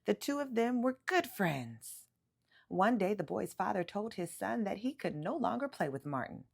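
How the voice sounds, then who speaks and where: Female storyteller for a children's picture book, lively and emphatic, with some vocal fry. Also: mid-range voice. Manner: animated, emphatic storytelling with drawn-out words. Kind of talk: expressive read-aloud of a children's picture book.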